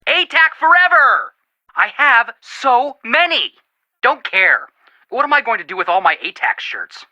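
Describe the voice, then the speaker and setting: An actor voicing an excitable, flippant young male amateur detective in a video game, joking in an exaggerated, mock-dramatic way. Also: very high voice, unhurried, no echo, dull audio, full character voice. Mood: playful outrage. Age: young adult.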